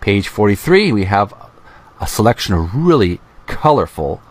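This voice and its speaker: Low-voiced man reading aloud haltingly. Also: big pitch swings. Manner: halting, deliberate delivery. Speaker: video host. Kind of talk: reading aloud from an art book with casual commentary.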